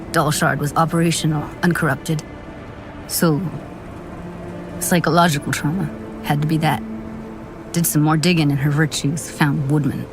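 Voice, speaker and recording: An actress playing a grieving, guarded young tech expert in a video game, with slangy, clipped, weary phrasing. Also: female voice, lowish voice, rough recording. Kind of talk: naturalistic video game acting. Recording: game dialogue under clear background music.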